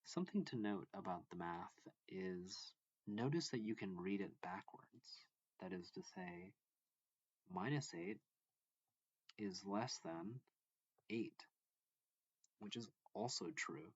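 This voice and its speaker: A man with a deep, low-pitched voice reads a lesson aloud in short, deliberate phrases, like a teacher walking students through a textbook. The recording is muffled and lo-fi, with noticeable room echo. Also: very animated, vocal fry, slightly rough. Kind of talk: instructional read-along of a math textbook. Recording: dull lo-fi, echoey room.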